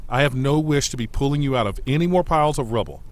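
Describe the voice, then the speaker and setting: A man playing a loyal, modest, watchful aide and bodyguard in a science fiction audio drama, speaking in a measured, formal, earnest way. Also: medium pitch, lively intonation, natural voice. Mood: earnest, cautioning.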